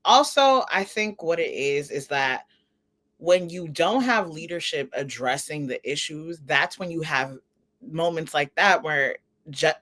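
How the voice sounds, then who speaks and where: A woman's voice: low-pitched, lively intonation, a little slow, fairly bright tone. A woman co-hosting a talk podcast, giving casual, opinionated entertainment commentary in informal, slangy, emphatic phrasing. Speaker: podcast co-host.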